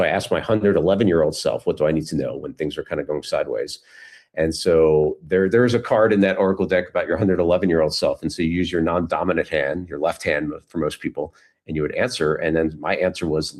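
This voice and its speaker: Male interview guest, middle-aged, deep voice, casual storyteller, loose and rambling delivery with frequent restarts. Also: darkish tone. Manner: rambling, restarts phrases often.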